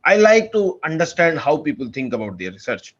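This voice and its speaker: A young man talks casually as a podcast guest. He sounds earnest and keen, his voice sits a bit high for a man, and he often restarts his sentences as he goes. The audio is a little muffled. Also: very animated, fairly quick, fairly bright tone. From India. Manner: earnest, enthusiastic, rambling with restarts. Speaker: podcast guest, PhD student. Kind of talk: casual conversational interview.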